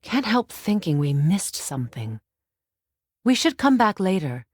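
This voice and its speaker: A woman voicing a resolute, battle-worn sorceress and protective mother in a video game, with a measured, earnest and commanding delivery. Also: medium pitch, sing-song, a little mellow, breathy edge, no echo, clean studio sound. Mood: watchful, questioning. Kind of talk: dramatic video game voice acting.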